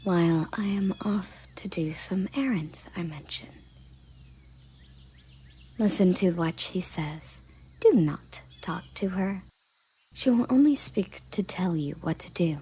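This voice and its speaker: A haughty female elven captain of the guard, acted by a woman for an audio roleplay. Her voice is breathy, mellow and on the low side. She speaks slowly and curtly, giving commands with cool condescension. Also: lively intonation, slight background noise. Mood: annoyed, commanding. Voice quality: husky, breathy, soft low voice. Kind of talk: audio roleplay addressed to the listener.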